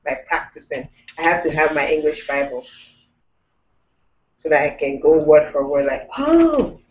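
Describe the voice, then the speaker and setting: A man's voice: a little high, animated, moderate pace. A man teaching a language class, explaining step by step with many restarts. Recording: echoey room, tinny phone-like sound, mic far off.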